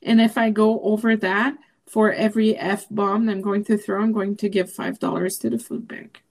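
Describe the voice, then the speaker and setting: French-canadian lady, native french speaker, fired-up political chat, medium-pitched voice, gets loud and high on the angry questions. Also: level tone, moderate pace, gravelly. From Quebec. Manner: emphatic, rises to high outraged questions.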